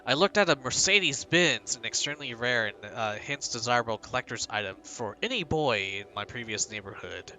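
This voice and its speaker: A man's voice: medium pitch, expressive, fairly quick, few pauses, pushed and bright. A male streamer reading a game's text aloud and breaking off for joking, rambling asides.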